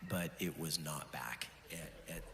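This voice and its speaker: A worship leader still recovering from a cold, chatting casually and warmly with his congregation, with fillers and bits of laughter. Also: a bass voice, measured pace, in a large hall. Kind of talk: casual talk to a church congregation. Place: church sanctuary.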